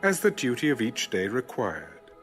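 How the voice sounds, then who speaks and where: Expressive male scripture narrator over music. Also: mid-range voice, normal speed. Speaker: narrator of an audio Bible.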